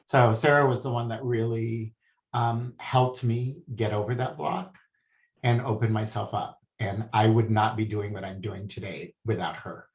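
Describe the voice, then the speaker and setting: A man from Hawaii, a guest speaking about healing in a soft, hesitant way with many pauses. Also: fairly low pitch, fairly even intonation. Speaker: guest, spiritual healer. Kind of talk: casual interview talk. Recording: echoey room.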